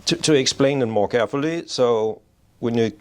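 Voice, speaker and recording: A man's voice: mid-range voice, normal speed, darkish tone. A middle-aged man from Denmark, a payments executive, explaining his field in an interview at his office, often restarting his phrases. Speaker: payments strategy executive, guest. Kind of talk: interview answers. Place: office in Oslo.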